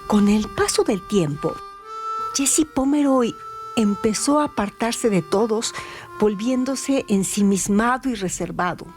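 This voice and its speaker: A woman narrating a scripted dramatic radio story in a theatrical, deliberate way. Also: mid-range voice, expressive, a little mellow. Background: music bed.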